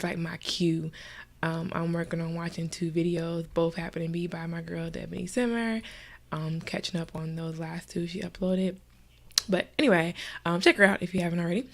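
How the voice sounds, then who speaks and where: A woman's voice: lowish voice, creaky. Stuffy-nosed woman chatting casually. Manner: chatty, many fillers, soft at times. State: stuffy and nasal. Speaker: gaming vlogger. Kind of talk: casual screen-recorded tutorial chat.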